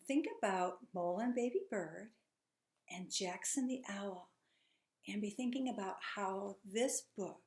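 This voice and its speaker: A woman teacher reading a children's storybook aloud, encouraging and putting questions to her young listeners. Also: mid-range voice. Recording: echoey room.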